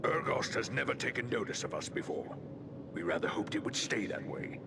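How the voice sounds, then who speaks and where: An actor playing a blunt, wary dwarf noble in a fantasy video game, with an emphatic delivery. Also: male voice, on the low side, very animated, brisk, creaky, heavy background noise. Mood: wary, scornful. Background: loud background music.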